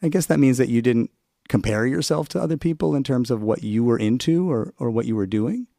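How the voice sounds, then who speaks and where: A man's voice: mid-range voice, lively intonation, a little fast, creaky, mellow, no room sound, clean studio sound. A man interviewing a guest on radio, asking thoughtful, probing questions.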